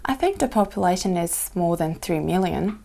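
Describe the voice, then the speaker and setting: A woman's voice: fairly low pitch, expressive, normal speed, gravelly, warm tone. A young woman from Japan answering exam questions in simple, careful English with small slips. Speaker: test candidate. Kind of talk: mock speaking exam answers.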